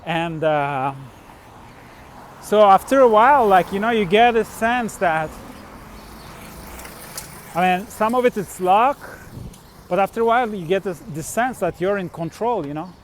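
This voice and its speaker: A middle-aged man from Turkey and Switzerland tells personal travel stories casually, in a halting, rambling way with trailing thoughts. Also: high-pitched, expressive, slight background noise. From born in Turkey, grew up in Switzerland. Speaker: vlogger, musician and traveler. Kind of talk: casual unscripted personal storytelling.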